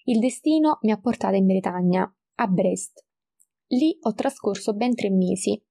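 Gravelly-voiced eighteen-year-old woman. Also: medium pitch, measured pace, warm tone. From Italy, Campania. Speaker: high school student. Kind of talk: self-introduction.

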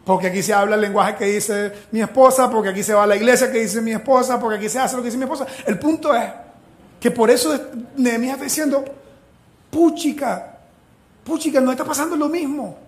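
A man from Venezuela preaching a sermon as pastor, animated and joking as he retells the story. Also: very high voice, fast, reverberant.

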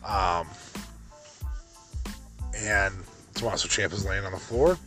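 Man, deep voice, podcast host, rambling, lots of ums, faint music behind. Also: slow, pushed and bright. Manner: rambling, many fillers and restarts. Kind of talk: solo podcast wrestling review.

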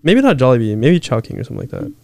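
A young man chatting on a podcast with a mellow, relaxed tone of voice. He jokes around, swears freely and laughs often. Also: mid-range voice, very fast, gravelly, no room sound. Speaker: podcast co-host. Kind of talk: casual chat.

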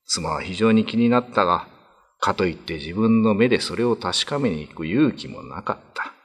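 A man's voice: on the low side, lively intonation, normal speed, slightly rough. A man narrating a classic ghost story as a dramatic scripted reading.

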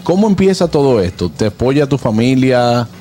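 A man's voice: medium pitch, sing-song, moderate pace, dark tone, soft background music. A man hosting an interview, putting conversational questions to a guest. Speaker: interviewer, show host.

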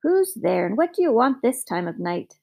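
A woman's voice: on the high side, very animated, normal speed, creaky, darkish tone, exaggerated voice. A woman voicing an irritable, sleepy male farmer in a storybook, snapping and bellowing. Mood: angry bellowing. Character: grumpy sleepy male farmer, money-minded.

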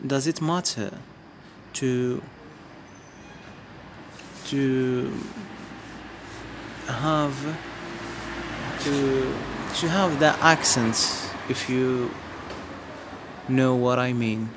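Man, Amazigh speaker, very slow, halting speech full of restarts, faint hum of air conditioning behind. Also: medium pitch, steady tone. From Morocco. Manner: hesitant, searching for words, many restarts. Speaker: vlogger. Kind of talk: casual spoken monologue to viewers.

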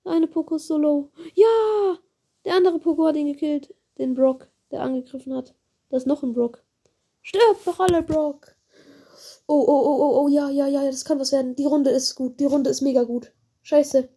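A woman with a slight cold, giving excitable, chatty commentary on her own gameplay, swearing and apologizing as she plays. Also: high-pitched, normal speed. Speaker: gaming video creator.

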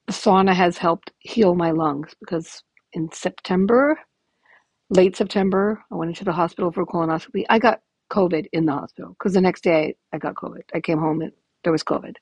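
Raspy, gravelly-voiced woman in her fifties. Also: on the low side, fairly even intonation, normal speed, a little mellow, no echo. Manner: rambling, candid, frequent ums. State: hoarse from illness. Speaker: podcast host. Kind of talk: casual personal update.

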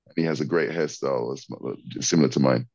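Male guest, very deep, hesitant delivery, dull dry video-call sound. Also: fairly even intonation, fast talker, darkish tone, dry recording. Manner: hesitant, many ums and repeated words. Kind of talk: conversational explanation.

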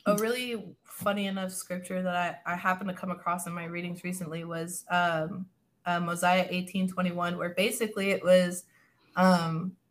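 A woman in conversation, telling family stories in a relaxed, laughing way and sometimes quoting others with lively voices. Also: lowish voice. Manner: laughing, animated storytelling with quoted voices. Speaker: guest. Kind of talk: casual podcast chat.

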